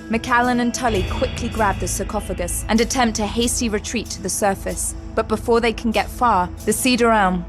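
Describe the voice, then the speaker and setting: A woman narrator with a mid-pitched voice over clearly audible music. She reads a scripted audio drama in measured, dramatic fashion.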